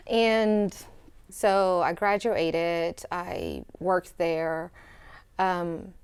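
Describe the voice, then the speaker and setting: A woman's voice: fairly low pitch, lively intonation, drawn-out words, clear, resonant tone. A young woman from El Salvador, a student guest in a studio interview, speaking earnestly and reflectively with fillers and restarts.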